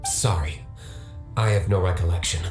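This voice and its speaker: An actor playing a stern, aloof young tavern owner in a video game, curt and deadpan. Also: male voice, sits low, normal speed, close to an everyday voice. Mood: cool, dismissive. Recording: roomy sound with faint music.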